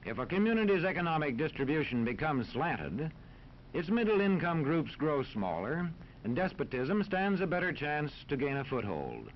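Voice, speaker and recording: An American man narrating a scripted 1940s educational film in a formal, authoritative, measured manner. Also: fairly high pitch, sing-song. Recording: dull 1940s film soundtrack, faint noise.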